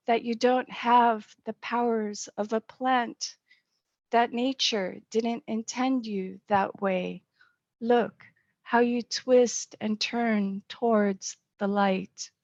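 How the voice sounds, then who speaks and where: A woman's voice: on the high side, a little mellow, dry recording. A middle-aged woman, a poet and publisher, reading and speaking at an online poetry event. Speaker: poet and small-press publisher. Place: indoors on a video call. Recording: dull, muffled online call audio.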